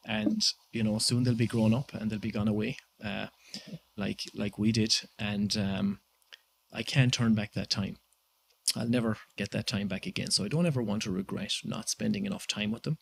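Low gravelly Irish man. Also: steady tone. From Ireland. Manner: measured, with many "uh" and "um" fillers. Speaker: guest entrepreneur. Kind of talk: reflective interview answers.

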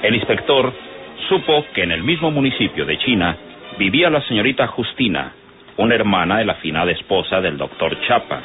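Deep-voiced male radio narrator. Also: very animated, brisk. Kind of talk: scripted old radio drama narration. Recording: tinny vintage radio, loud music behind.

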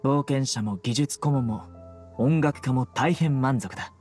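Stern young game adventurer played by a man, mid-pitched, deadpan and formal, faint music underneath. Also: a little slow, natural voice. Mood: composed. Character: stern, composed young male desert adventurer. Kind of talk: anime video game voice acting.

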